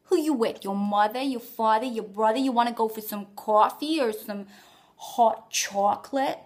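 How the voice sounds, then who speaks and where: A woman's voice: fairly high pitch, normal speed, lightly acted. A woman putting on a Brooklyn accent as a nosy woman quizzing someone. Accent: Brooklyn (put on). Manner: brassy, nosy questioning.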